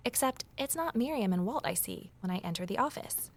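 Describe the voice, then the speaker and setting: A woman's voice: medium pitch, animated, a little fast, creaky. An actress playing a determined, curious woman investigator in a scripted mystery audio drama, with a wry, conversational manner. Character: determined, curious ex-journalist woman, defiant amateur sleuth. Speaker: narrator and lead character.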